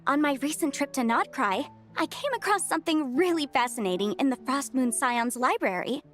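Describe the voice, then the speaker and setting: A woman's voice: high-pitched, expressive, normal speed. A woman playing a bubbly, curious, chatty young woman in a video game, speaking with enthusiasm. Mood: enthusiastic. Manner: bright-eyed, enthusiastic, conversational. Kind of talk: video game character acting. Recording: faint game music behind the voice.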